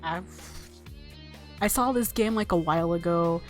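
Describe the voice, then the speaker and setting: Female let's play host, slightly low pitch, informal, rambling, faint game music behind. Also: a little slow. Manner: rambling, self-deprecating, informal. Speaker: gaming video host. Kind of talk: casual let's play commentary, reading game text aloud. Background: soft game music.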